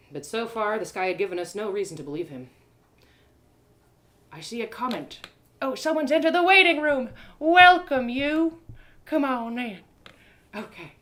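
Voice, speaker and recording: A woman's voice: on the low side, fairly bright tone. A woman storyteller narrating a first-person fantasy tale expressively. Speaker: storyteller, host.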